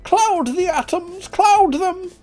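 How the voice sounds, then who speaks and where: A man voicing an over-keen male eccentric in a comic story, chanting and talking with loud, insistent energy. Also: very high voice, slow pace, faint music behind, pitched above the performer's own voice. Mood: fervent chanting.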